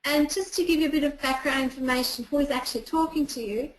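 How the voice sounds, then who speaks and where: A woman with an Austrian German accent gives an online presentation as a clinical psychologist, explaining patiently and pausing on frequent ums. Her voice sits high, and the sound rings around an echoey room.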